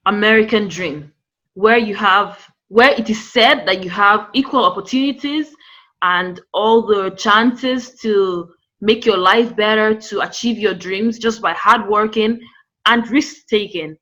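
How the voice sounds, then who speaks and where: A woman hosts and interviews with a bright, forceful tone and friendly, chatty questions. The recording is somewhat dull and lo-fi. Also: mid-range voice, unhurried. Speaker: channel host, interviewer.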